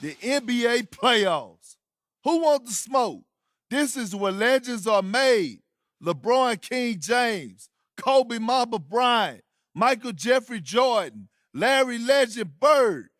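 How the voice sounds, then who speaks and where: A man playing an excited basketball hype announcer in a video game, with theatrical, drawn-out emphasis. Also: very high-pitched, dry recording, studio quality, full character voice. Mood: hyped, theatrical.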